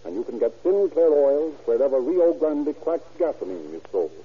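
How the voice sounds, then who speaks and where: A man narrating and announcing a 1930s radio crime drama in a measured, formal manner. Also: mid-range voice, sing-song, breathy edge. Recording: tinny old radio recording with faint hiss.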